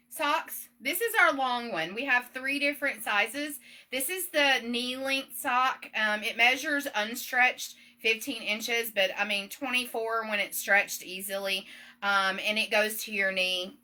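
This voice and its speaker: A middle-aged woman with a Southern US accent talks loudly and quickly as she hosts a live demo. Her voice sits a little high and sounds very bright and sharp. She keeps checking in with plenty of ums and okays. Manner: loud, fast and chatty, with many ums and okays. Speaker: shop owner hosting a live demo. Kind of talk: casual live product demonstration.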